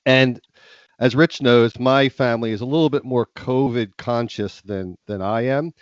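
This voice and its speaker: A man's voice: medium pitch, moderate pace, no echo. A middle-aged man with a head cold, co-hosting a podcast in casual, rambling chat full of restarts. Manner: rambling, many ums and restarts. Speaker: podcast co-host. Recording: dry, slightly dull sound.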